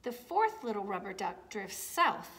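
A woman in her forties reading a picture book aloud to young children, with deliberate phrasing. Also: mid-range voice, big pitch swings, a bit bright, a little hiss or hum. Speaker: children's story time reader. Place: large indoor room with high wooden ceiling.

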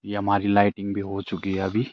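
A man's voice: lowish voice, monotone, brisk, no room sound, recorded from a distance. A man in his thirties, a camping vlogger, chatting casually to his viewers from inside a small tent. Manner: chatty, addresses viewers as friends. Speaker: camping vlogger, channel host. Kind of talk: casual vlog narration to viewers. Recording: slightly dull lo-fi camera sound.

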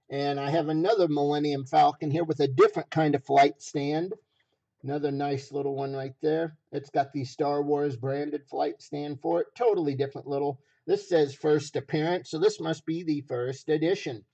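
A man hosting a livestream auction with folksy, repetitive casual sales patter, his voice rough, raw and a little hoarse. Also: fairly high pitch, warm tone, highs cut off. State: slightly hoarse.

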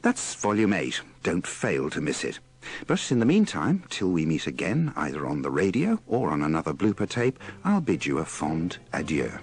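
A man's voice: deep voice, huge pitch swings, normal speed. A man presenting a radio compilation with scripted, humorous linking narration.

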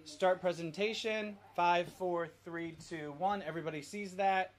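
A man's voice: sits high, steady tone, unhurried. A middle-aged man, a father casually directing his family and explaining steps as he goes. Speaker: father leading a family activity and app demonstration. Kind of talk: casual instructional family vlog. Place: family home.

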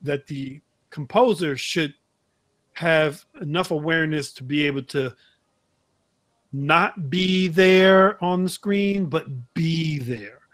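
Slow-paced, halting middle-aged man chatting. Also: on the high side, fairly bright tone, no room sound. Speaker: podcast co-host. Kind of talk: casual chat. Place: indoor room, on a video call.

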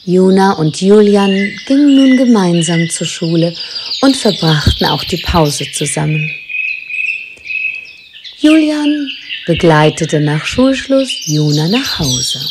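A woman's voice: lowish voice, lively intonation, takes it slowly, noisy background. A woman giving scripted narration for a children's audio drama.

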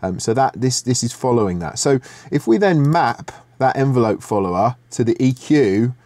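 A middle-aged man teaching music production software in a screen-share tutorial, relaxed and thinking aloud with fillers and restarts, recorded in a home studio. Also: medium pitch, moderate pace, dry recording.

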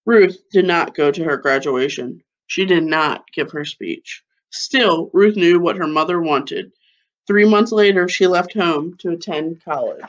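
A woman in her thirties reading a children's picture book aloud like a schoolteacher, with deliberate phrasing. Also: fairly low pitch, highs cut off. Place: living room at home, seated on a couch. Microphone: webcam or laptop mic at about arm's length.

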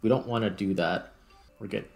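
A man with a fairly low voice hosts a gameplay video in a casual style. He thinks aloud and often corrects himself mid-thought. Faint music plays behind him. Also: moderate pace, gravelly. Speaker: gaming video host.

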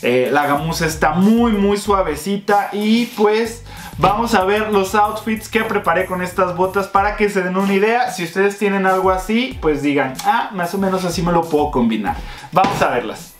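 A man's voice: sits high, moderate pace. A young man giving a casual, enthusiastic review to viewers he calls friends, seated at a desk indoors. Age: young adult. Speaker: fashion vlogger. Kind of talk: casual product review vlog. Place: indoor room with shelves. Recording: echoey room with faint music.

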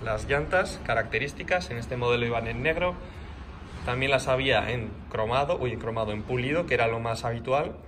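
A man walks through a motorcycle build in his workshop, talking in a casual, explanatory way with small restarts. His voice is bright and forward, recorded on a handheld phone, with a faint hum of workshop noise behind him. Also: medium pitch. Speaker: motorcycle customizer. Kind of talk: casual walkthrough. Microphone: handheld phone camera.

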